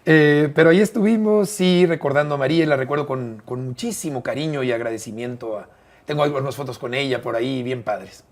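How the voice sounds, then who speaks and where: An older man with a Mexican accent speaks in a relaxed, measured way, neither high nor low in pitch. He tells his stories with frequent "eh" pauses and repeats phrases as he thinks. The effect is of an easygoing interview guest remembering the past. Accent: Mexican Spanish. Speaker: interview guest, broadcaster and bullfight writer. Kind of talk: relaxed conversational storytelling.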